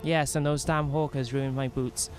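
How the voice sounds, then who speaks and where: A young Welshman with a lightly played-down Welsh accent chats as he streams a fantasy game, slipping between his character and his viewers. He rambles and restarts his sentences with self-mocking humor, at a middle pitch. Faint game music sits under him. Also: flat delivery, normal speed. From Wales. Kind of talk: casual in-character role-play commentary.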